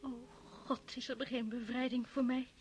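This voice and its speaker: A woman's voice: on the high side, level tone, moderate pace, darkish tone, soft background music, close to an everyday voice. An actress in a radio play, voicing a pious young woman who prays in despair and pleads for release. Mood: pleading. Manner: pleading, prayerful.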